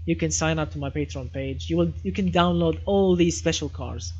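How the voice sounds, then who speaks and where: Muffled, higher-voiced man with Syrian Arabic accent. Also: normal speed, darkish tone. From Damascus, Syria. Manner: enthusiastic, repeats phrases. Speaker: live streamer, host. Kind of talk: casual live gaming stream chat and commentary. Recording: muffled lo-fi audio, clear background noise.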